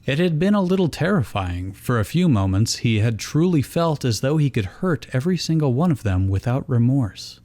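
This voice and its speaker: A man's voice: mid-range voice, very animated, moderate pace, hardly any pauses, dark tone. A man reading fan fiction aloud as narrator, in scripted storytelling style. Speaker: reader and narrator.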